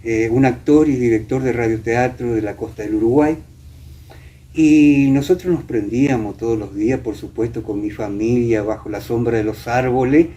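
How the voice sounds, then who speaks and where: An older man with an Argentine accent from Entre Ríos, reminiscing as a guest and often pausing to find his words. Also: mid-range voice, warm tone. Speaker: interview guest. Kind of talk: reminiscing in conversation. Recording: clear background noise.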